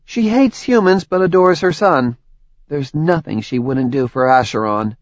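A male narrator voicing a cheerful, gently teasing young woman with a light, dryly sarcastic manner. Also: fairly high pitch, expressive, a little slow, dry recording, pitched above the performer's own voice. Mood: chatty. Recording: dry, slightly dull audiobook recording.

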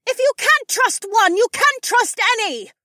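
A man's voice: very high voice, sing-song, normal speed, dry recording, studio quality, voice pushed up. A man voicing an agitated female character in a fantasy audiobook. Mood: outraged. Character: soft-voiced, worried woman; also a shrill townswoman.

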